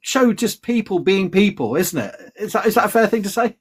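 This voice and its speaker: A middle-aged British man hosts a relaxed live chat, his voice sitting a little high for a man. He talks in a chatty, hedging way, piling up fillers and restarts as he works toward a point. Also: brisk, husky. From Britain. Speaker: host. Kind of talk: casual live-stream conversation.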